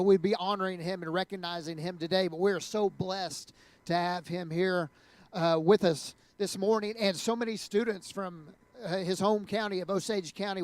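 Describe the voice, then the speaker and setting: A man's voice: high-pitched, no echo. A man hosting an event, introducing a guest in a conversational way with frequent fillers. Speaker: event host.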